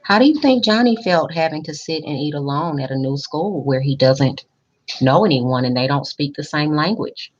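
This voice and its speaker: Female storybook reader, teacherly, low register, very animated intonation, slightly lo-fi sound. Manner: teacherly, pausing to question young listeners. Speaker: school principal reading aloud to children. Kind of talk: expressive picture-book read-aloud with questions to listeners.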